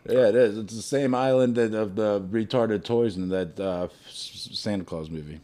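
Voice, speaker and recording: A man's voice: on the low side, moderate pace, dark tone. A man co-hosting a comedy podcast, chatting casually with crude, teasing banter. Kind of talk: casual joking podcast chat.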